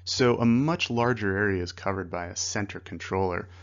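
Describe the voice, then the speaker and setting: A man's voice: fairly low pitch, moderate pace, muffled recording. A man narrating a slide lesson as a flight instructor, in a conversational, explanatory way.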